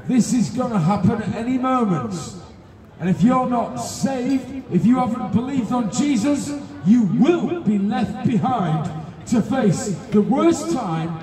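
A male street preacher declaims an evangelistic sermon one emphatic phrase at a time. His voice is high and very measured, ringing with long echo from a loudspeaker some way off, over a clear crowd. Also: recorded from a distance. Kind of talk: open-air evangelistic sermon. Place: outdoors on a busy pedestrian shopping street. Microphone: handheld PA microphone, filmed from several metres.

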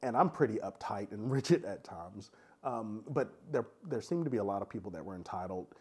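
A man chatting frankly in a casual review monologue, his voice raspy and congested from a cold, with lots of filler sounds. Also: lowish voice, lively intonation, brisk. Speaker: vlogger reviewing a cruise.

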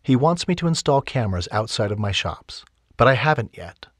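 A man voicing a stressed, stubborn young woman, raising his pitch and speaking emphatically. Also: big pitch swings, fairly quick, a bit bright, dry recording, clean studio sound. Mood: upset.

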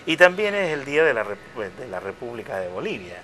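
A man in his fifties, a parish priest, speaks reflectively in a bright, forward voice, often pausing and restarting his sentences. He is recorded on a lapel mic at an outdoor restaurant patio. A faint hiss of outdoor ambience sits under his voice. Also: mid-range voice, very animated, low-quality recording. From Chile. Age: 50s. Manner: unhurried, reflective, with many hesitations and restarts. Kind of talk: casual interview conversation.

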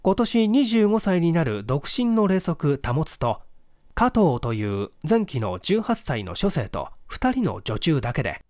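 A man narrates an audiobook mystery in a slightly high voice. His intonation moves widely and expressively, while the delivery stays formal and measured. The recording is dry and close. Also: sing-song, a little mellow, dry recording. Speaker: narrator reading a classic mystery story aloud. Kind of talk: dramatic scripted audiobook narration in first person.

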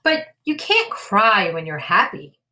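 Woman playing an earnest little boy rabbit, lively pitch. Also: a little slow, a little hiss or hum, full character voice, poor audio. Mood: earnest, puzzled.

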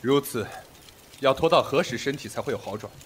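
An actor playing a proud, commanding sect master in a period audio drama, with imperious, formal speech. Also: male voice, medium pitch, brisk, a little hiss or hum, close to an everyday voice. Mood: cool, probing.

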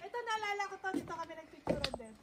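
A woman's voice: very high-pitched, lively intonation, racing, heavy background noise. A woman on a hiking trip, chatting in an easygoing way and mixing English with Filipino. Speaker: friend on the trip. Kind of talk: casual chat. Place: outdoors on a hiking trail.

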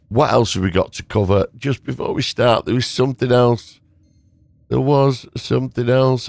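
A hoarse man giving casual, rambling gameplay commentary as a gaming YouTuber, thanking his viewers. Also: on the low side, no room sound.

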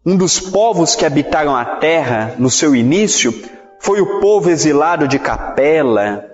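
Echoey, high-pitched Brazilian man preaching. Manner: didactic, emphatic, with rhetorical questions and repetition. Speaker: Spiritist lecturer. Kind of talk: religious lecture. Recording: echoey hall, dull sound, music.

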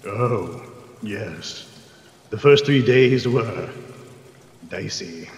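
A man playing a calm, dryly amused dragon in a radio play, measured and formal, in an echoing recording. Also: fairly low pitch, full character voice. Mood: wry, hungry. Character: calm, wry, ancient-sounding dragon, amused and hungry. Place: video call performance. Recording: roomy long reverb, faint noise.

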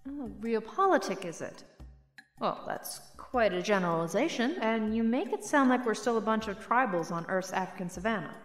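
An actress playing a composed female university professor who questions students in a measured, academic way in an audio drama. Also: on the high side, lively intonation, natural voice. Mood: skeptical, curious. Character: probing, composed female university professor leading class debate. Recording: echoey hall reverb with music.

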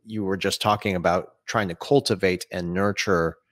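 A man's voice: deep voice, fairly even intonation, moderate pace, fairly bright tone, no echo. A man in his thirties from Saudi Arabia, hosting an interview over a video call with long, opinionated questions. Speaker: interviewer and host. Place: small home room, indoors.

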